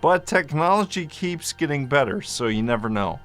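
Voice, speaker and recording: A man giving an informal explainer as a vlogger, speaking in a halting, deliberate way with long hesitations and at times mildly slurred speech. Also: a little high, animated, fairly bright tone, faint music behind. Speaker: vlogger sharing a personal medical journey. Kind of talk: informal explainer, part reading notes, part ad-libbed.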